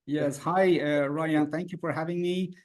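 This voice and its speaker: A man from Iran, a native Farsi speaker, answering interview questions in halting English with many fillers and restarts. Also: a little high, fairly even intonation, darkish tone. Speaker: guest.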